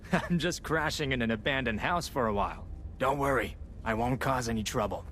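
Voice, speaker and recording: Man as a jovial, shifty squatter, highish voice. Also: normal speed, fairly bright tone, a little hiss or hum, close to an everyday voice. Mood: jovial, nervous. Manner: effusive, disarming, chuckling. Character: jovial, shifty male survivor squatting in ruins. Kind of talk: video game character acting.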